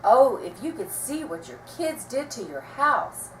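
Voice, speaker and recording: A woman's voice: sits high, sing-song, measured pace, a bit bright, pitched above the performer's own voice, exaggerated voice. A woman voicing a tattling, insistent village gossip from a storybook. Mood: excited tattling. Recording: roomy, distant mic, faint noise.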